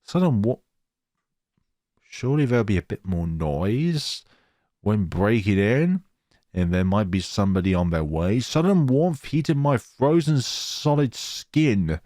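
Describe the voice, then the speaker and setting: A man's voice: fairly low pitch, lively intonation, a little slow, mellow, dry recording, clean studio sound. A man hosting a gaming let's play, reading story text aloud dramatically and dropping in casual asides. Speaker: gaming channel host.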